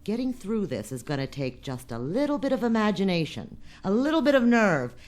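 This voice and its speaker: A woman playing a tough, shrewd, impatient boss with shady deals in a science fiction radio drama, forceful and sardonic. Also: mid-range voice, sing-song, brisk, natural voice. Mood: cool, persuasive.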